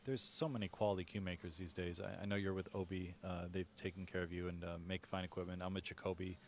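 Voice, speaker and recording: A man with a low voice calls a pool match and breaks down each shot choice for viewers. His delivery is steady and analytical. Faint venue ambience hums behind him. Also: fast, slight background noise. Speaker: pool commentator. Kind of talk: live sports commentary.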